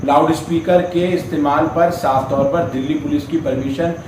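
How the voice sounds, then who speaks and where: A man's voice: fairly high pitch, steady tone, normal speed. A man presenting a news report with commentary in an emphatic way, repeating his points. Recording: echoey, distant mic, noisy background.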